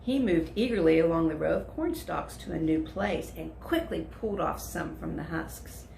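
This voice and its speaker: A woman reading a children's story aloud as a storyteller, deliberate and expressive. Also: deep voice, creaky, a little hiss or hum. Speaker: volunteer storyteller. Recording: echoey room.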